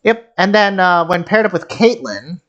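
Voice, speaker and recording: A host talking casually and a little haltingly, reacting to a video game as commentary. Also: medium pitch, lively intonation, a bit bright, highs cut off. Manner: halting, hesitant, giggly.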